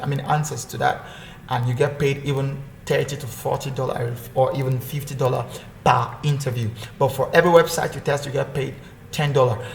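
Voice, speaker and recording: A man's voice: mid-range voice, creaky. A man hosting a casual screen-share walkthrough, rambling and correcting himself as he repeats phrases. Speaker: online earning tutorial host. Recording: echoey room with faint background hum.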